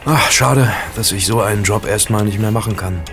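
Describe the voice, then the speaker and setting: An easygoing young man working as a night porter in a radio play. His voice is low, his talk loose and colloquial with a joking streak. Faint music sits behind him. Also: normal speed, fairly bright tone. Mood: casual. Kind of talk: German radio play acting.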